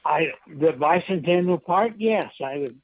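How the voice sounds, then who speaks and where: Old american guy in his 70s on radio, slightly high voice, halting and plain, muffled lo-fi sound. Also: lively intonation, no echo. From United States. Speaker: guest, poet and veteran. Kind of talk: interview reminiscence. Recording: dull, muffled radio interview line.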